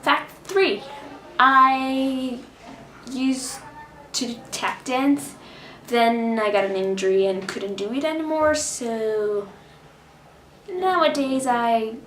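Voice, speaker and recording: A young woman from Brazil chats straight to camera in English, her accent drifting between Brazilian, American and British. Her voice sits a bit high, and she talks in a lively, animated way. The room gives it a noticeable echo, with a faint hiss underneath. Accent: mixed Brazilian, American and British. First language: Portuguese. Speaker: vlogger. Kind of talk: casual direct-to-camera vlog.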